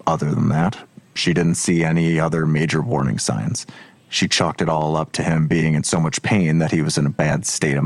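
A man's voice: very deep voice, husky, no echo, exaggerated voice. An actor playing a skeptical police detective in an audio drama, terse and probing in his questions. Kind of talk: naturalistic audio drama dialogue.

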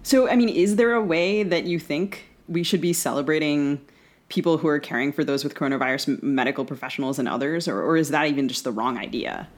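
A woman's voice: low-pitched, fast. An American woman co-hosting a conversational discussion, talking discursively with many asides as she works toward questions. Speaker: podcast co-host, interviewer.

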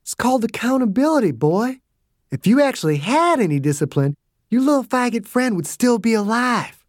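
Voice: mid-range voice, very animated, moderate pace, no room sound, clean studio sound, pitched above the performer's own voice. A reader voicing a tough, older male fighter who goads his opponent with a sneer. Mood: taunting. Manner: sneering, goading. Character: taunting tough older man, ex-soldier type. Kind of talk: audiobook character voice.

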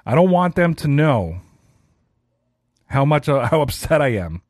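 A man's voice: fairly high pitch, big pitch swings, a little slow, a little mellow, dry recording, studio quality. A man in his thirties hosting a solo sports podcast, talking casually and ranting with a self-deprecating streak. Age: 30s. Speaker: podcast host.

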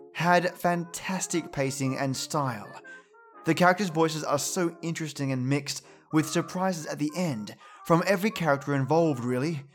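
Chummy male podcast host, slightly high voice. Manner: chummy, addresses listeners as mates. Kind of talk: casual scripted commentary.

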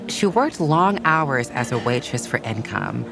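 A woman's voice: a bass voice, big pitch swings, unhurried, vocal fry. A woman reading a scripted children's story as a narrator. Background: music.

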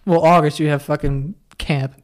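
A man's voice: on the high side, steady tone, normal speed. A man in his twenties co-hosting a podcast, talking casually in a loose, rambling way with frequent restarts. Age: 20s. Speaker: podcast co-host. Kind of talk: casual chat.

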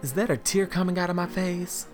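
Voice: mid-range voice, steady tone, a little fast, lightly acted. A performer voicing a confident woman in a tuxedo, awestruck and dreamy, with faint music behind.

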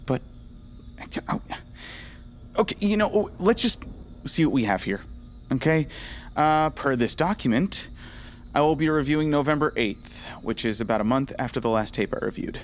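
A man's voice: mid-range voice, expressive, normal speed, close to an everyday voice. An actor playing a flustered male agent in an audio drama, hesitant, mumbling and trailing off. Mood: puzzled, hesitant. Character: groggy, flustered male agent reviewing tapes.

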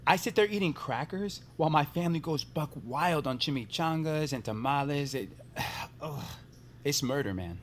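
An actor playing a goofy, eager new coworker who rambles in a casual, enthusiastic way, in an audio drama. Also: male voice, fairly high pitch, lively intonation, normal speed, slight background noise, lightly acted. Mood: rambling, self-deprecating.

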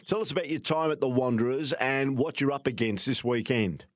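A man hosting a sports radio show, asking measured questions packed with stats. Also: medium pitch, no room sound, clean studio sound. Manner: fact-laden, measured questioning.

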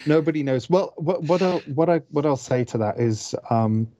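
A man from Zimbabwe talking as a podcast panelist, explaining things casually in a careful, halting way. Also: on the high side, lively intonation, mellow, dry recording. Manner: measured, careful with wording, halting. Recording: dull, dry close recording.

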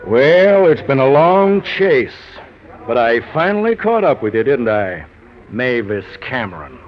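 A man's voice: mid-range voice, big pitch swings, measured pace. An actor playing a polite, confident man on the hunt in 1940s radio drama style. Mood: smooth confidence. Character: polite, confident male pursuer. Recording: muffled old radio recording.

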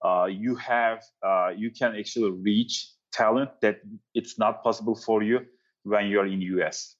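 A man from Turkey with a Turkish accent, answering interview questions in a halting way with many fillers. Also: on the low side, steady tone, dull audio. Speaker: guest, company founder.

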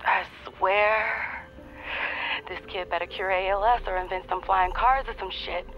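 A woman's voice: medium pitch, fairly even intonation, a little slow, soft background music. A woman playing a wry, loving pregnant wife, teasing drily over the phone in an intimate audio drama. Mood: wry, exasperated. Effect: phone call filter. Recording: dull, lo-fi phone call.

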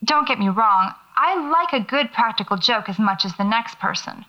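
A woman's voice: a little high, animated, normal speed, close to an everyday voice. An actress playing a nervous, wry horror writer who records her eerie explorations on a lo-fi tape, muttering to herself in an audio drama. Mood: shaken, reflective. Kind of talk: audio fiction acting, recorded field diary. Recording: dull lo-fi cassette tape sound.

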